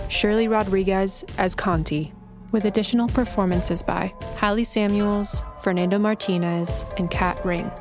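A woman announcer reading scripted end credits in a narration style. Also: medium pitch.

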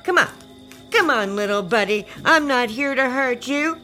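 A woman's voice: sits high, expressive, moderate pace, sharp tone, faint music behind. A woman playing a menacing, unhinged aunt in an audio drama, coaxing with false sweetness that turns threatening. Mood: wheedling, sinister. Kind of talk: audio fiction acting for children.

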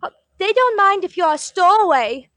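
An actress playing an earnest, anxious young woman in 1940s radio drama, speaking in a halting, polite, pleading way. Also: very high voice, lively intonation, fairly bright tone, no echo. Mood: coaxing. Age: young adult.

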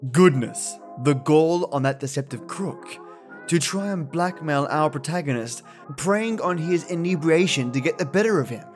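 Chatty male podcast host over soft music. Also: fairly high pitch, animated, normal speed. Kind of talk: scripted, chatty podcast commentary.

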